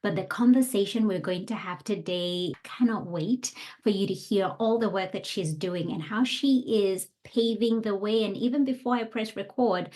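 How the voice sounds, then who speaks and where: A woman's voice: medium pitch, darkish tone. A woman hosting a podcast as a coach, presenting to her listeners.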